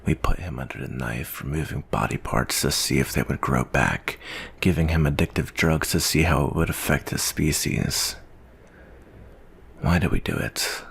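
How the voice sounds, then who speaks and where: A man playing a jaded, guilt-ridden monster-hunting soldier in an ASMR roleplay monologue, speaking in a slow, brooding, weary way with a gravelly, husky voice. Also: extremely low pitch, a bit bright, full character voice. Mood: somber, regretful.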